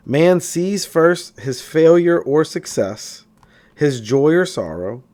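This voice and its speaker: A man reading a spiritual self-help book aloud in a measured, emphatic, instructive way. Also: mid-range voice, darkish tone. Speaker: audiobook reader.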